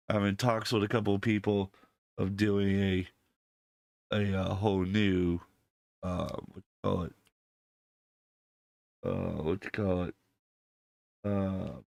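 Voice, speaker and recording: A man hosting his channel while sick, his voice deepened by illness, talking casually to viewers with many fillers and restarts into a desk microphone that clips when he is too close. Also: vocal fry, darkish tone, no echo. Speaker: channel host.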